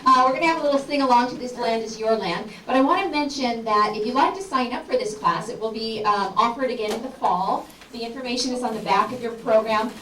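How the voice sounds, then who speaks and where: A woman's voice: a little high, quick pace, hardly any pauses, pushed and bright. A woman speaking as class instructor and host, making informal announcements to an audience in a community center room. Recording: echoey room, distant mic, clear noise.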